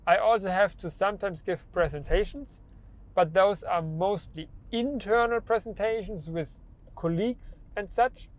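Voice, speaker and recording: Young man, stutters, repeats and restarts words, slightly raised pitch, casual vlog talk. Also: unhurried. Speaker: vlogger.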